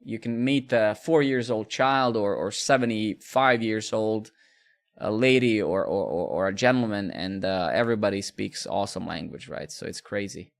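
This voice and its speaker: Gravelly man chatting casually. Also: fairly low pitch, normal speed. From Serbia. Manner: rambling, repeats words, swears casually. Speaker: live coding streamer. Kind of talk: casual chat with stream viewers.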